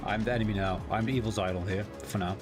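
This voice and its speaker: A man's voice: fairly low pitch, fairly even intonation, fast, music in the background. A Dutch man with a Dutch accent, whose first language is Dutch, streaming a game live, chatting casually and reading the game's text aloud as he plays. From Netherlands. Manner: chatty, reads game text aloud, thinks out loud with restarts. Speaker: gaming streamer. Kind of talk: live gameplay commentary.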